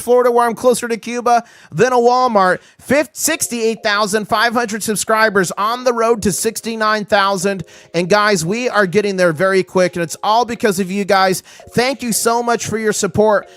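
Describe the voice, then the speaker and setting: A man hosting a casual livestream, his voice hoarse, talking with excitable hype-man energy. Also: high-pitched, dry recording. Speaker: livestream host.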